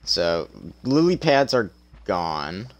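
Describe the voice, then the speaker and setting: A man's voice: on the low side, very animated, takes it slowly, a little hiss or hum. A young man streaming a game, talking casually through his play with a tired, strained voice and a chatty, self-deprecating manner. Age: young adult. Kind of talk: casual gameplay commentary.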